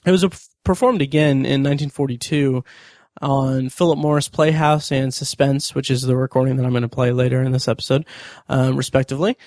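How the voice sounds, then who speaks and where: A man's voice: medium pitch, fairly even intonation, fairly quick, a little mellow, dry recording. Man reviewing on a podcast, dry close sound. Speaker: podcast host. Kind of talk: podcast review commentary from notes.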